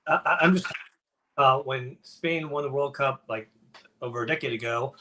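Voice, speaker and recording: A man's voice: mid-range voice, moderate pace, sharp tone, a little hiss or hum, rough recording. A man hosting an online networking livestream in casual, unscripted chat, joking and rambling loosely as he goes. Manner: jokey, chatty, loosely rambling. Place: indoor room on a video livestream.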